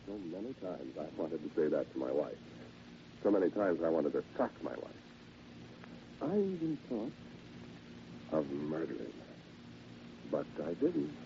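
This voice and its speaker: A man's voice: extremely low pitch, very animated, unhurried, gravelly, long pauses, noisy background, exaggerated voice. An actor playing a bitter, sardonic middle-aged widower who works as a salesman, in 1940s radio drama style, on a muffled vintage radio transcription. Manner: wry, taunting, chuckling to himself.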